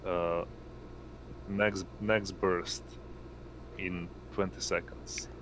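A man running an online tabletop roleplaying game as game master, narrating in a halting way with pauses. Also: low-pitched, flat delivery, fairly bright tone, slight background noise. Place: indoors on an online voice call.

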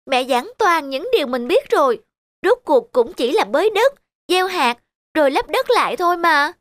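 A woman's voice: high voice, sing-song, moderate pace, a little hiss or hum. A woman voicing a playful, careless little rabbit child in a children's cartoon, with childlike delivery. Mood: bored, careless. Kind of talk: animated children's cartoon voice acting. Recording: loud children's music behind.

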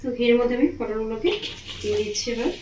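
A woman's voice: fairly high pitch, racing, very noisy. A woman hosting a home cooking channel, chatting to her viewers as friends while she cooks at the stove in her kitchen, talking them through each step. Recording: echoey tiled kitchen with loud frying. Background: sizzling and frying from the pan.

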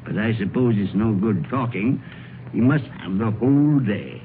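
A man's voice: fairly low pitch, expressive, normal speed, a little hiss or hum, lightly acted. An actor playing a grouchy, miserly old man in a theatrical 1930s radio style, crotchety and grumbling. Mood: grumpy, indignant. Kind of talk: 1930s radio drama acting. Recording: narrow, lo-fi 1930s radio broadcast.

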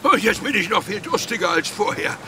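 An actor playing a hapless, sneaky, dim-witted cartoon villain who whines and exclaims to himself in a children's audio drama. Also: male voice, high-pitched, expressive, a little fast, background noise. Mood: disgusted, desperate. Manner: exclaiming, talking to himself, whining.

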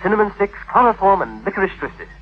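An actor playing an earnest, bewildered, lovesick Victorian tutor in a 1950s radio drama, anxious and pleading. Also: male voice, sits high, very animated, fast. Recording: husky-sounding dull vintage radio recording.

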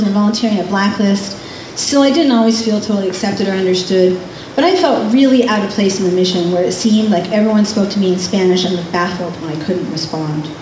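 A woman's voice: mid-range voice, darkish tone. A middle-aged American woman reading a scripted memoir aloud live on stage in a club. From California, United States. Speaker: author and musician reading from own memoir. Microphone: stand microphone through PA, recorded by distant camera. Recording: roomy, distant camera pickup, faint noise. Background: faint room noise and ambience.

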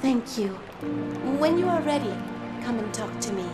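Video game role: actress playing a regal bug queen, high, solemn and formal, with music behind. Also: lively intonation, normal speed, close to an everyday voice. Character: noble, earnest insect queen. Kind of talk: video game cutscene acting.

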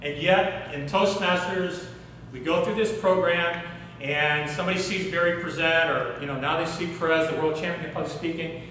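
A middle-aged man giving a workshop talk on public speaking, animated and asking the audience questions in a hotel conference room. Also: fairly high pitch, normal speed, few pauses, slight background noise. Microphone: far camera, no mic seen. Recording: echoey room recorded from far off.

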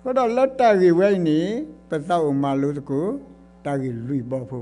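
A middle-aged man preaches with a Karen accent, his voice sitting fairly high for a man. He swings between ringing exclamations and hushed, earnest passages while gesturing. He speaks close to a stand mic on a church stage. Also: sing-song, normal speed, faint music behind. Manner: impassioned, swinging between loud exclamations and quiet speech, gesturing. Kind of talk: sermon. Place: church stage before blue curtain. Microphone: stand mic close to mouth.

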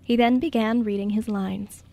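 A woman narrates a radio drama from a script. Her voice is on the high side, and she reads as a storyteller between the characters' lines. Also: steady tone, moderate pace, gravelly, warm tone.

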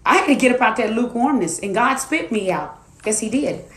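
A woman's voice: a little high, animated, fairly quick, fairly bright tone. Emphatic young woman preaching testimony, echoey. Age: 20s. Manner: emphatic, repetitive preaching cadence, talks straight to viewers. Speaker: minister and life coach.

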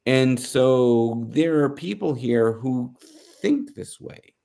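A middle-aged American man giving news commentary on a video call, halting and searching for words. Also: mid-range voice, lively intonation, creaky, dark tone. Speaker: panelist. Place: small home office, indoors.

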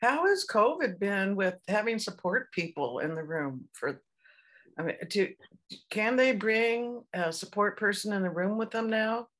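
An older woman moderating a video call interview, who asks her question haltingly and rephrases it. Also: medium pitch, lively intonation, fairly bright tone. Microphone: laptop video call.

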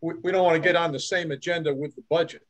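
A man on a committee video call checks the dates with care, in a voice set a little high. He asks short, careful questions and keeps them to the point. He wants each detail confirmed before moving on. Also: fairly even intonation, fast, over a noisy crowd. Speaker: committee member.

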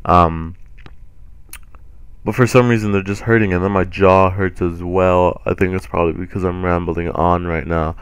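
A young man hosting a gaming channel, rambling casually over gameplay with a deep voice and many ums, his throat a little sore. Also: steady tone, moderate pace, smooth, dry recording. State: sore throat from swollen tonsils.

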